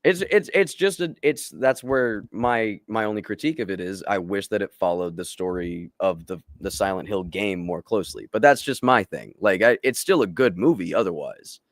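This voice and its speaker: A man's voice: fairly low pitch, lively intonation, normal speed, hardly any pauses, dry recording, clean studio sound. A man co-hosting a casual live discussion, opinionated and emphatic, swearing freely as he makes his points. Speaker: podcast co-host.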